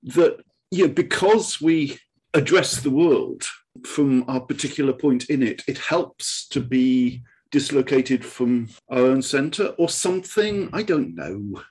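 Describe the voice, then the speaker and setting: A man with an English accent speaks as a writer in casual conversation. His voice is medium in pitch and a little rough. He muses aloud, qualifying himself as he goes. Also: expressive, a little slow, darkish tone, low-quality recording. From England, born in Oxford, adult life in Newcastle. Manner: musing, hesitant, self-qualifying. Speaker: writer, interview participant.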